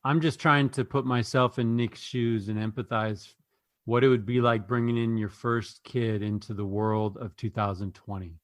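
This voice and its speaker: A man hosting a casual group conversation, speaking earnestly and thoughtfully with halting phrasing. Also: medium pitch, fairly even intonation, no echo, clean studio sound. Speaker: podcast host.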